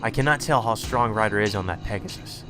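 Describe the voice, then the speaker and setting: A man's voice: fairly low pitch, quick pace, bright, forceful tone. A man reading visual novel narration aloud as a let's play narrator. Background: game music.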